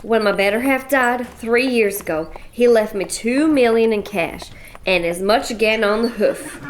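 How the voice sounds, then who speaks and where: A woman's voice: a little high, moderate pace, slight background noise, close to an everyday voice. A woman voicing a bossy, blunt, middle-aged widow from a cattle ranch, speaking. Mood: bossy, folksy. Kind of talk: radio play acting.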